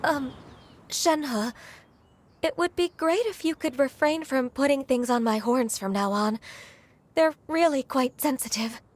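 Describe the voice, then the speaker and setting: A woman's voice: high-pitched, a little slow, a little hiss or hum. A woman voicing a timid, polite young woman in a video game, courteous and hesitant.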